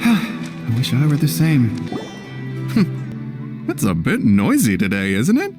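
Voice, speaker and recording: A woman voicing a quiet, wistful, hesitant townsperson in video game dialogue. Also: very deep voice, wildly swooping pitch, takes it slowly, music in the background, full character voice.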